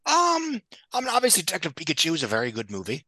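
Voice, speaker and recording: A man's voice: fairly high pitch, huge pitch swings, quick pace, sharp tone, dry recording, studio quality. A man giving casual, opinionated film commentary as a guest, with a wry, blunt way of talking. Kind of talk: casual opinionated movie discussion.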